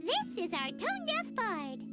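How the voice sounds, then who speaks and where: A woman voicing a tiny, excitable, chirpy fairy companion in a video game. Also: extremely high pitch, unhurried, over loud music, exaggerated voice. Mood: chatty. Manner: chirpy, refers to self in third person.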